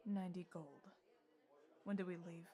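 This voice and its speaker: Brisk, businesslike adventurer for hire, acted by a woman. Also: on the low side, dark tone, natural voice. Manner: curt, matter-of-fact, commanding. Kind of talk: audio roleplay acting.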